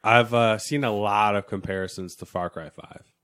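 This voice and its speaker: A man's voice: lowish voice, steady tone, normal speed, fairly bright tone, clear, resonant tone. A man co-hosting a gaming podcast in casual chat, laid-back, with short replies. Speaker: podcast co-host.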